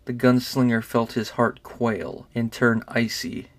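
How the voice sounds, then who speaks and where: Man narrating a dark story. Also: mid-range voice, fairly even intonation, a little slow. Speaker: narrator, fan reader. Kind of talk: dramatic audiobook-style narration.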